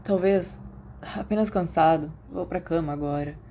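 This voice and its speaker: A woman voicing a weary, awkward teenage boy in an audiobook, halting and apologetic. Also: lowish voice, mellow, slight background noise, close to an everyday voice. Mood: tired, apologetic.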